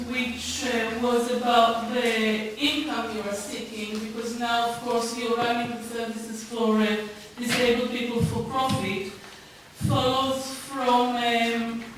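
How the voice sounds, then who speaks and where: A woman putting a question as a member of the public at a formal meeting, halting but pointed and challenging. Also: fairly high pitch, level tone. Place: large town hall meeting room. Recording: very echoey hall from far off, faint noise.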